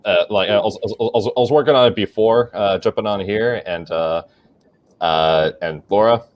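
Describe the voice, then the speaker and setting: A man's voice: fairly low pitch, normal speed, dull audio. A man chatting on a podcast, stumbling over his words and repeating himself often. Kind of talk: casual chat.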